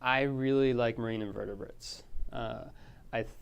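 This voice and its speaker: A man's voice: mid-range voice, steady tone, moderate pace, gravelly, clean tone. A man from Costa Rica, associate director of a research center, talking about his work with enthusiastic explanations.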